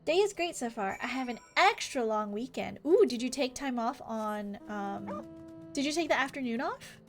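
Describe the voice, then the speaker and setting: American woman, high voice, casual live stream chat, animated, gossipy, faint music underneath. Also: moderate pace. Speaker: game streamer. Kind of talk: live stream chat while reading game dialogue aloud.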